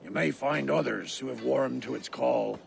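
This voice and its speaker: Gravelly, bitter Saxon village reeve. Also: male voice, mid-range voice, normal speed, slight background noise. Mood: firm, resolute. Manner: gruff, accusatory, formal archaic speech.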